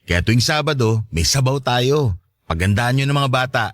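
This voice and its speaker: An actor playing a sweet, excitable, joking boyfriend in a radio drama. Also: male voice, mid-range voice, moderate pace, no echo, studio quality, natural voice. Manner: animated, joking. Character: Rodel, jokey, sweet, excitable macho-less boyfriend. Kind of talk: Tagalog radio drama dialogue.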